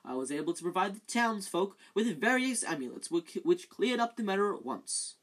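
A vain, boastful wizard speaks in a raised, pompous manner, as a woman reads him out. The voice is a little husky but pitched up with self-importance. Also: sing-song, moderate pace.

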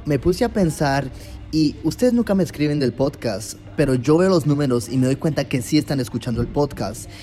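A man hosting a casual podcast interview, talking in an upbeat, chatty way and agreeing quickly with his guest. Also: fairly high pitch, animated, mellow. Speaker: podcast host.

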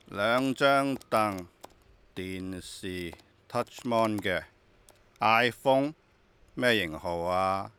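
A brusque man who buys second-hand goods in a radio drama. He has a bright, clear voice and an offhand, grumbling way of bargaining. The recording is dry. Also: medium pitch, dry recording. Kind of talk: Cantonese radio drama acting.

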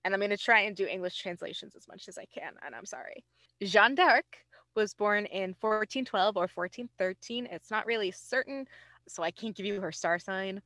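A woman podcast host with a medium-pitched voice, recorded dry and close. She tells history like casual conversation, informal and self-deprecating, slipping in wry asides. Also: moderate pace, pushed and bright, no echo.

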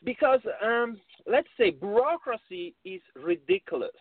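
A man from Italy with an Italian accent, a film director speaking as a guest on a call-in interview, talking in an emphatic, animated way. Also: extremely high pitch, measured pace, dry recording. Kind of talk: phone-in interview. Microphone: phone line. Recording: tinny phone line.

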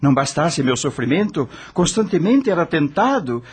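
A man's voice: on the high side, sing-song, normal speed, husky, full character voice. An actor playing an anguished dead rock star who narrates his own suffering dramatically in a radio drama. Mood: remorseful.

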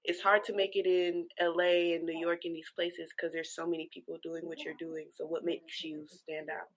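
Low-pitched woman chatting casually. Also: little pitch movement, moderate pace, low-quality recording. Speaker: podcast panelist. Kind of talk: casual chat.

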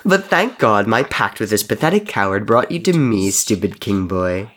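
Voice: medium pitch, lively intonation, brisk, creaky. A narrator playing a cruel, hissing monster king. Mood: grieving fury. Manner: drawling, hissing, sneering. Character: cruel, sneering, vengeful monster king mocking his captives.

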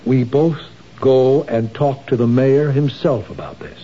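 Worried husband voiced by a man, warm low tone, old muffled broadcast. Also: steady tone, measured pace, lightly acted. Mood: earnest. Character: worried middle-aged husband, city official. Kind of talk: 1970s radio drama acting. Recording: dull old radio broadcast with hiss.

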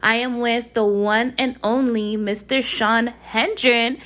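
Hoarse, hyped woman podcast host. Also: a little high, fairly bright tone. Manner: hyped, slangy, addresses listeners directly. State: hoarse, losing her voice. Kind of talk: casual podcast chat.

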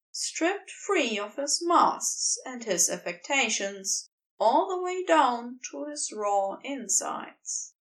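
A woman narrating a story from a script in an expressive storytelling style. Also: a little high, creaky, far from the mic, poor audio. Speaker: podfic narrator.

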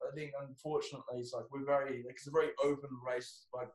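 A man's voice: medium pitch, little pitch movement, quick pace. An Australian man hosting a casual podcast chat, with a blunt, matey, sweary way of talking. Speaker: podcast host and comedian. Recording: echoey room sound.

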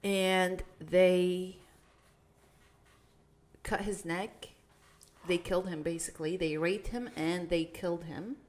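Low-voiced young woman from Iraq. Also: steady tone, darkish tone. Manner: storytelling, halting with restarts and fillers. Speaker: podcast co-host. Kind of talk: casual chat.

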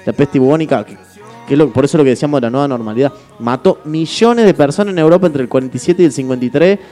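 A man in his twenties with an Argentine accent, hosting a casual podcast chat with quick jokes. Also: medium pitch, soft background music. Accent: Argentine Spanish. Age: 20s. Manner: fast, joking, animated storytelling. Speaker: radio podcast host.

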